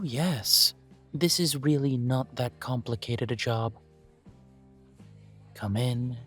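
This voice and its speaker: A man gives voice to a courteous elf who runs a small coffee shop. He speaks at an unhurried pace in a mid-range voice, formal and gently self-deprecating. Soft music plays quietly beneath his words. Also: mid-range voice, breathy edge. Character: courteous elven male coffee shop owner. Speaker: shop owner interviewing a job applicant. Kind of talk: scripted one-sided roleplay audio.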